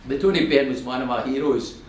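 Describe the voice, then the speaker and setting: A man's voice: mid-range voice, brisk, slight background noise. A Scottish man in his fifties giving a passionate political talk to a local audience in a community hall, building to emphatic oratory. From Scotland. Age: 50s. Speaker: guest speaker. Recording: echoey room.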